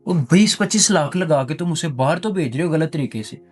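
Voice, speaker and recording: A frank, concerned younger neighbour, played by a man, speaks in a fairly high voice. He is earnest and admonishing, in the manner of a moral drama. Light music sits under the dialogue. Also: animated, a little fast. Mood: earnest warning. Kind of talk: dramatised moral story acting.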